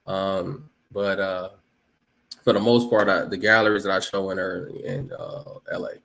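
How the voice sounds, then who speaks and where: Low-pitched male guest from Los Angeles, late thirties, loose and rambling. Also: a little slow, gravelly, rough recording. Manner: relaxed, rambling, many fillers and restarts. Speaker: guest artist. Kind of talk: casual interview.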